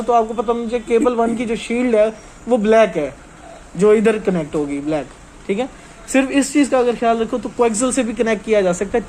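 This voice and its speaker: A man's voice: very high voice, a little mellow, a little hiss or hum, poor audio. A man giving an informal hands-on technical lesson as a trainer, explaining step by step and often checking that listeners follow.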